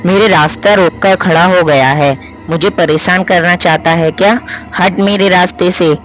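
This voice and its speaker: A man's voice: high-pitched, steady tone, normal speed, fairly bright tone, soft background music, poor audio. A man voicing a hot-tempered, defiant schoolgirl in a spoken story, with a brash, quarrelsome manner. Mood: rude. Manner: brash, quarrelsome, pleading when accused.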